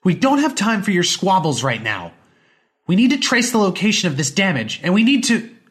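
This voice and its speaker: A man voicing a brisk, disbelieving middle-aged woman scientist in an audiobook story, with emphatic, questioning delivery. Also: high voice, very animated, a bit bright, husky, pitched above the performer's own voice, heavily acted. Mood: impatient.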